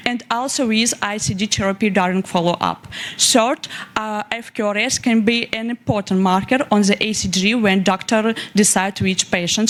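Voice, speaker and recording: A woman researcher with a Russian accent, speaking halting, hesitant English as a native Russian speaker at a scientific conference. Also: mid-range voice. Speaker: young medical researcher presenting at a contest. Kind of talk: conference presentation and question answers.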